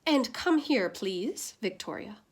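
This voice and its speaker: A woman's voice: fairly high pitch, lively intonation, takes it slowly, husky, full character voice. A woman reading as a snooty, bossy upper-class mother with a haughty, commanding manner in a storybook. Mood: stern, commanding.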